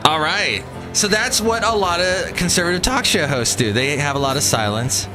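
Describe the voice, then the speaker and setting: A man's voice: a little high, expressive, normal speed, over music. A man hosting a comedy radio chat show, talking in a playful, goofy, rambling way.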